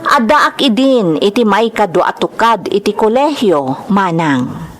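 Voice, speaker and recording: A woman's voice: mid-range voice, expressive, measured pace, close to an everyday voice. A woman playing a worried young woman in an audio drama, with an Ilocano accent, telling her family's story. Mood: reflective. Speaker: actor in a radio-style drama. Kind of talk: dramatized narration and dialogue. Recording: clear background noise.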